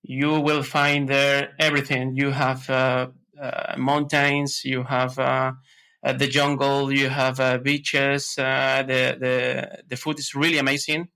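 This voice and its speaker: A man's voice: medium pitch, level tone, slow pace, sharp tone, smooth. A man from Ecuador, answering podcast questions in a hesitant way, with many fillers and restarts. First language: Spanish. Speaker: podcast guest. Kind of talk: casual interview answers.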